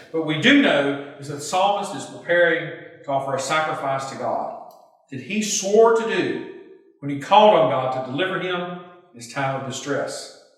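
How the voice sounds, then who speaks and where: A man, a pastor, delivering a scripted devotional sermon in measured, emphatic phrases. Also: fairly high pitch, a bit bright. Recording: very echoey hall, distant mic.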